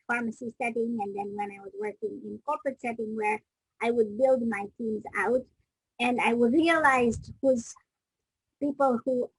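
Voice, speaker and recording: Husky middle-aged woman from Kenya, reflective. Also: on the high side, no room sound, low-quality recording. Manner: reflective, restarts sentences mid-thought. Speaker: guest, business coach. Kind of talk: interview storytelling.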